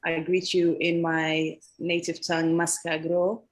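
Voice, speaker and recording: A woman from Sudan introducing herself as a panelist from an activist collective, expressive and laughing between phrases. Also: fairly low pitch, measured pace. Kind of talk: warm self-introduction. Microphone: video call.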